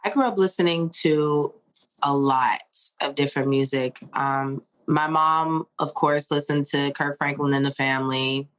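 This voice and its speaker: A woman in her thirties from Pittsburgh, a singer-songwriter answering interview questions in a relaxed, rambling way. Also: deep voice, a little slow. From Pittsburgh, USA. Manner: relaxed, rambling with fillers and laughs.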